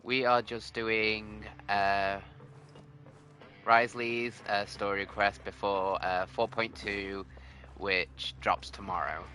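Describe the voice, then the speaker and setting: A male game streamer with a low but bright, forward voice. He chats casually and slowly, with informal, halting phrasing. Game music plays faintly behind him. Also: flat delivery. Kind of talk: casual livestream chat.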